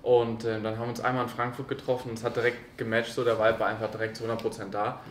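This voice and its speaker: A man's voice: mid-range voice, little pitch movement, rapid-fire. A man of 21 in a casual group Q&A chat, mixing youth slang with English words. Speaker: member of a social media video group. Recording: echoey room, faint background noise.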